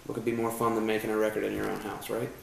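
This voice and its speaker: A man's voice: fairly low pitch, little pitch movement, very fast, gravelly, echoey room, heavy background noise. A young man vlogging about recording music at home, chatty and self-deprecating, with joking profane asides. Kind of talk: casual chat. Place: home room.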